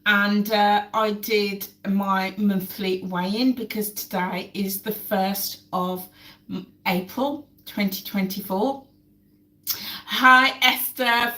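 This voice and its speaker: A woman's voice: mid-range voice, fairly bright tone. Woman, lifestyle host, chatty live stream, recovering from a cold, roomy kitchen, a few steps from the mic. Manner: chatty, repeats words for emphasis. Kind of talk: casual live stream chat while cooking. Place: home kitchen. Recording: echoey kitchen, mic at a distance.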